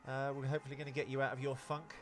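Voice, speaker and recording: With faint music underneath, a man talks casually as a podcast co-host. His voice sits at an average pitch. He rambles in a jokey way through talk about movies. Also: level tone, very fast, a bit bright. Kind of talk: casual film chat.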